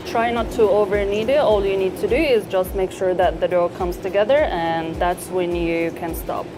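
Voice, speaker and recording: A woman chef from eastern Saudi Arabia, talking through a cooking demonstration in a kitchen with measured, gently encouraging explanations. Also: medium pitch. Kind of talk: cooking demonstration with calm step-by-step instructions. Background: background music.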